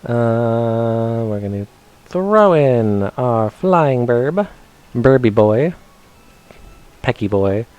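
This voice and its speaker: A man's voice: mid-range voice, lively intonation, extremely slow, pauses often, a little mellow, clean tone. A man doing casual, rambling gameplay commentary for a live stream, chatting playfully to his viewers as he plays. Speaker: game streamer.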